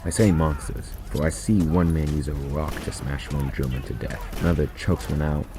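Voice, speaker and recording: A man reading a first-person short story aloud in a deliberate, grave, theatrical way, on a noisy recording. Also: very deep voice, over music. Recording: noisy recording with constant background noise.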